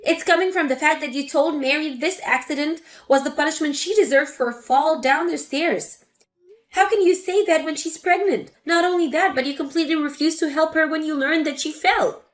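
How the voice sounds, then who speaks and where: A woman's voice: sits high, normal speed, natural voice. A woman as an indignant grown daughter. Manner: sharp, indignant. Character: angry grown daughter defending her sister-in-law.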